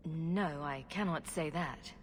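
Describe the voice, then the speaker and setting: A woman's voice: low-pitched, slow pace, slight background noise. A woman playing a bold, wry, sardonic adventurer heroine in a video game, confident and challenging. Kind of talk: scripted video game acting. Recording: long reverb with game music.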